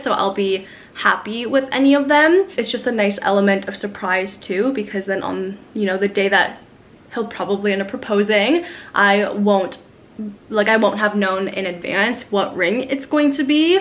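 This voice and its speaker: A young woman chatting casually to camera in a vlog while doing her makeup at home, upbeat and full of soft fillers. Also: mid-range voice, normal speed. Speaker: lifestyle vlogger. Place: at home in front of a mirror.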